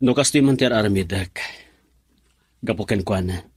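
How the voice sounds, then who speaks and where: A man's voice: fairly low pitch, normal speed, a little mellow, husky. A man playing a hesitant, downcast boyfriend who speaks tersely in Ilocano-accented radio drama dialogue. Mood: downcast, defensive.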